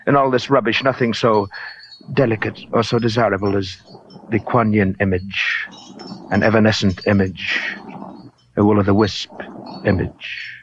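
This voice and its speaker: An actor playing a huge, urbane, menacing gentleman in a radio crime drama, speaking in a florid, deliberate way. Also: fairly low pitch, fairly even intonation, lightly acted. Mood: languid, boastful. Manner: florid, pompous, silky, deliberate. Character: huge, urbane, menacing gentleman collector of fake Oriental art. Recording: muffled, hissy old radio recording.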